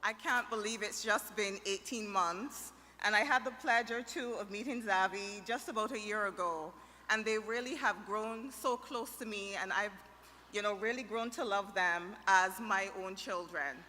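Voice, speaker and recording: A woman's voice: mid-range voice, moderate pace, slight background noise. A middle-aged woman with a Barbadian accent giving an earnest, emotional toast at a wedding reception. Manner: earnest, emotional, warm-spoken. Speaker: wedding guest giving a greeting. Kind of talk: heartfelt toast. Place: large reception hall. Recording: reverberant hall with faint ambience.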